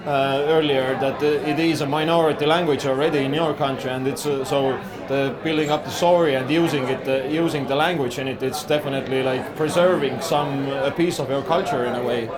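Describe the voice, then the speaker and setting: A man's voice: mid-range voice, steady tone, fairly quick, few pauses. A man hosting a festival interview, speaking hesitantly with many fillers and encouraging murmurs. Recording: busy crowd noise behind.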